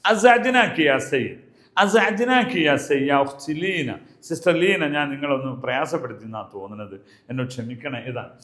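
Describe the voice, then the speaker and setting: High-voiced Malayali man teaching, echoey room. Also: lively intonation, fairly bright tone. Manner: explanatory, repeats example phrases slowly and deliberately. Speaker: Arabic language teacher. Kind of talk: spoken language lesson.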